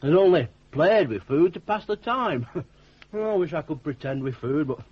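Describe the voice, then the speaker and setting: A man's voice: a little high, animated, moderate pace, slightly rough. An actor playing a cheerful, bumbling, self-deprecating idle young man in a radio drama, rambling artlessly in a Northern English accent. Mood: rueful, chuckling. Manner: rambling, artless, chuckling, homely dialect. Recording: dull 1980s radio drama recording.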